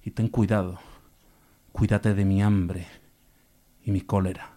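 Male stage actor, dark warm timbre, lowish pitch, dramatic poetry recital, emphatic phrasing, deliberate pauses. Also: little pitch movement.

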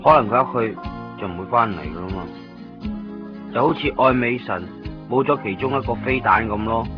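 A man's voice: mid-range voice, natural voice. A man with a Hong Kong accent playing a fretful, clingy, dramatic friend in a radio drama, chatty in manner. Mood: lonely, confessing. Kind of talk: Cantonese radio drama acting. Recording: muffled 1990s radio recording, faint music. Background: faint music.

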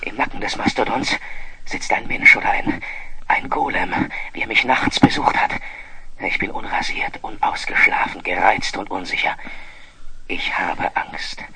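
An actor playing a dazed, anxious space pilot slipping under alien control, narrating in halting, trance-like phrases in a 1970s radio drama. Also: male voice, lowish voice, vocal fry, in a whisper, rough recording. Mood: bewildered, fearful.

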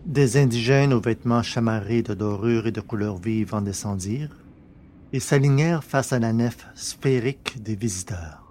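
A man narrating a science fiction story from a script in a measured, literary manner. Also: medium pitch, a little mellow, a little hiss or hum.

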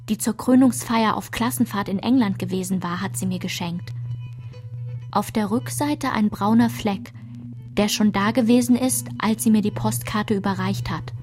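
Actress as measured woman recalling her girlhood. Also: mid-range voice, darkish tone, lightly acted. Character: reflective woman recalling her girlhood through old photographs. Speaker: radio play narrator. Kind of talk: literary scripted narration.

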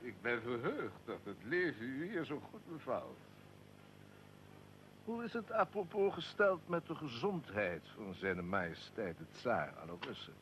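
An actor playing a shrewd Serbian army colonel in a radio drama, courtly and insinuating with a feigned casualness. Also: male voice, mid-range voice, sing-song, unhurried, a bit bright, slight background noise, poor audio. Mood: courteous. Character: shrewd Serbian army colonel, smooth conspirator recalling his plot.

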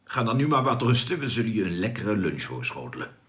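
An actor playing a brisk, teasing leader of a space crew in a radio drama. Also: male voice, fairly low pitch, sing-song, fairly bright tone.

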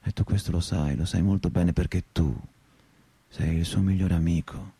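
A man's voice: sits low, steady tone, normal speed, dark tone, breathy edge, full character voice. A melancholy, wryly poetic man. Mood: sad. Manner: lyrical, wry, colloquial. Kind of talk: radio theatre monologue. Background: music.

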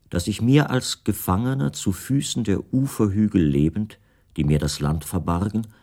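Radio play role: middle-aged writer, deep voice, reflective, detached, melancholy first-person recollection. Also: male voice, warm tone.

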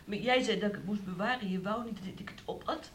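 A young woman lying ill in bed played by an actress in a radio drama. Her voice is medium in pitch, and she speaks in a plaintive, wounded manner, pleading with the man she is engaged to. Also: fast talker, slight background noise, close to an everyday voice. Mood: wistful, hurt. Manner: plaintive, speaks of herself in third person. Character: sick, bedridden young fiancée, pleading and wounded. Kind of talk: radio play acting.

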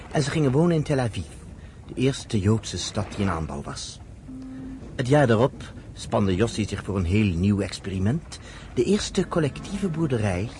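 An actor plays a reflective man telling history in a radio drama. His voice is warm and dark, of medium pitch, with an expressive melody and a measured storytelling manner. There is faint noise behind him. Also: natural voice. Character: reflective male storyteller recounting pioneer history to a listener.

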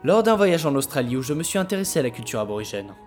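An actor playing a self-assured, visionary video game company boss, speaking formally in an audio drama interview. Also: male voice, medium pitch, big pitch swings, very fast, soft background music, lightly acted. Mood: confident. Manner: formal, polished, explanatory.

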